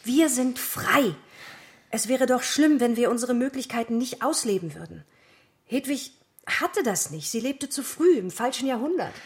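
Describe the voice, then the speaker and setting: A woman's voice: a little high, expressive, normal speed, a little mellow. An actress playing an intense, dreamy young woman in love with literature, reciting theatrically in a radio play. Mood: impassioned. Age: young adult.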